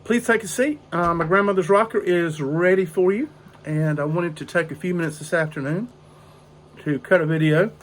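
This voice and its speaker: An older man, raspy and hoarse from a cold, rambling slowly through a casual story on his porch. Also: fairly high pitch, slight background noise. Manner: slow, rambling, with frequent fillers. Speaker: pipe-smoking video host. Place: outdoor porch in drizzling rain.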